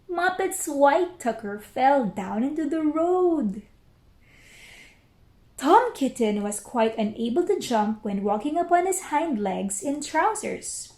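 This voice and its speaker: A woman storyteller reading a children's book aloud expressively and deliberately, with careful pauses. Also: high voice, darkish tone. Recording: roomy echo.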